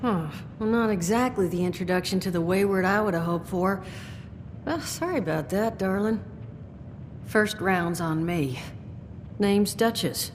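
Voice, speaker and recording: A woman's voice: mid-range voice, unhurried, a little hiss or hum, close to an everyday voice. An actress voicing a world-weary, unflappable woman who runs a bar, drawling and calling people sweetheart and darling. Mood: wry. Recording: reverberant game mix with music.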